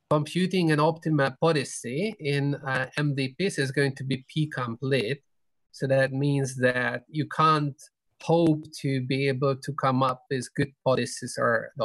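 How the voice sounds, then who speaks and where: A middle-aged man giving an explanatory conference lecture over a video call, pausing often mid-sentence. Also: mid-range voice, fairly bright tone, dry recording. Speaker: keynote speaker, researcher. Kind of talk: academic conference lecture with slides. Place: indoor room, video call.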